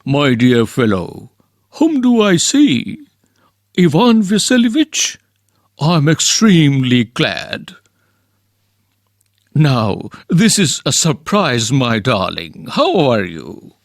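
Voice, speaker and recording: Man voicing a gushing old landowner, slow, gravelly, swooping pitch. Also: wildly swooping pitch, no echo, clean studio sound, full character voice. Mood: effusive. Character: fussy effusive old male landowner. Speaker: reader of a play part. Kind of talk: amateur play reading.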